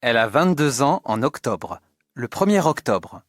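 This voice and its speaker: A man's voice: mid-range voice, big pitch swings, measured pace, dry recording, studio quality. A man reading short language drill sentences with careful articulation. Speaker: exercise reader.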